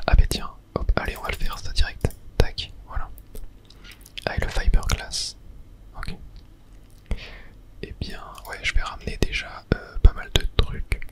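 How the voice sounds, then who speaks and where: A person whispering softly through casual ASMR video game commentary, murmuring play-by-play with little filler words. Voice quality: soft unvoiced whisper. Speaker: gaming ASMR creator.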